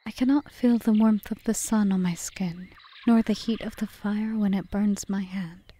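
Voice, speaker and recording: A woman playing a calm, calculating forest witch in an audio roleplay, speaking in a measured, formal, often whispery way. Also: mid-range voice, close to an everyday voice. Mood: solemn, wistful. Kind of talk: ASMR roleplay monologue.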